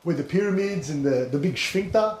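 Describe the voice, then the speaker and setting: Man acting a high-pitched, cocky suburban Aussie DJ. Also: animated, a little fast, heavy background noise, natural voice. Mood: boastful. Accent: Australian. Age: young adult. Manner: slangy, boastful, bro-heavy talk. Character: cocky, hyped-up young Egyptian-Australian suburban DJ man. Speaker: comedy performer on a sports show. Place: TV studio and filmed sketch locations. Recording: loud music and crowd behind voice.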